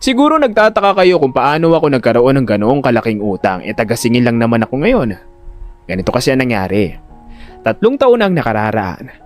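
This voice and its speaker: A man narrating a first-person audio drama in an expressive, conversational storytelling manner. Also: mid-range voice.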